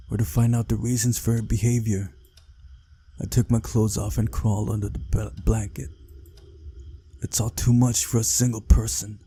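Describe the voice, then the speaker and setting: A man reading a visual novel aloud as narrator, in a subdued, unhurried storytelling manner. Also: on the low side, warm tone. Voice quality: husky, gravelly.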